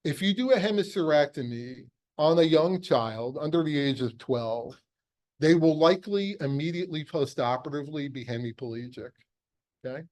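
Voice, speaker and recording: A man's voice: on the high side, lively intonation, moderate pace, darkish tone. A middle-aged American man giving a conversational medical lecture, explaining emphatically and posing rhetorical questions. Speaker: physician lecturer, pediatric epilepsy surgeon.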